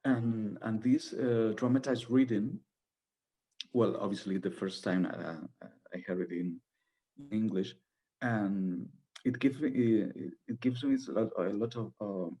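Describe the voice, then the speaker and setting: A man with a Spanish accent, a native Spanish speaker, answering as a playwright in a post-show Q&A, halting as he searches for words. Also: fairly low pitch, mellow, low-quality recording.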